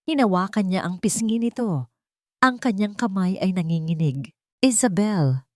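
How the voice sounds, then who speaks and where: A woman's voice: mid-range voice, moderate pace, dry recording, clean studio sound. A woman telling a scripted love story as a dramatic narrator.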